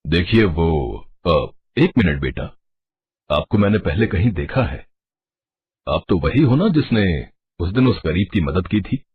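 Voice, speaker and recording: A man voicing a gentle, fatherly middle-aged doctor. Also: sits low, big pitch swings, measured pace, husky, no room sound, muffled recording, exaggerated voice. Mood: kindly. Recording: music under the voice.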